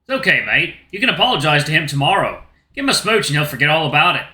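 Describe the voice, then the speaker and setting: A man's voice: on the high side, sing-song, fast, bright, forceful tone, roomy sound, full character voice. A man voicing a friendly canine buddy. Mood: soothing. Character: worried, friendly male canine companion.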